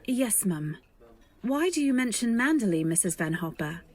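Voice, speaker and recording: A woman playing a timid, wistful young woman who narrates an old-time radio drama in a measured, dreamy, deferential way. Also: mid-range voice, big pitch swings. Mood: meek, polite.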